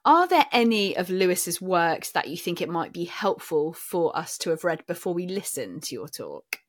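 A woman host introducing a recorded conference talk in a measured, formal way. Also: lowish voice, very animated, dry recording, clean studio sound. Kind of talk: scripted introduction.

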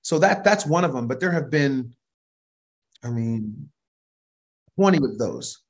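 A man with a naturally high voice speaks at an ordinary pitch for his usual talk. He tells stories casually and with animation, with plenty of restarts and asides, and laughs often. He sounds middle-aged, easy and conversational. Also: a little slow. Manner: animated, laughs often, frequent restarts and "you know what I mean". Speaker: worship leader and vocal producer, interview guest. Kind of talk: relaxed conversational storytelling.

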